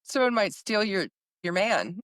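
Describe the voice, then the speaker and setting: A woman's voice: mid-range voice, lively intonation, a little slow, fairly bright tone, dry recording, studio quality. A woman hosting a podcast interview in a casual, agreeable, conversational way. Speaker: podcast host.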